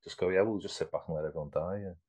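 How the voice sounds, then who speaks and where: A man in his fifties speaks in a deep, husky voice. He talks loosely and conversationally, restarting sentences and repeating words while he thinks aloud. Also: steady tone, very fast, darkish tone. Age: 50s. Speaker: artist guest. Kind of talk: casual interview chat.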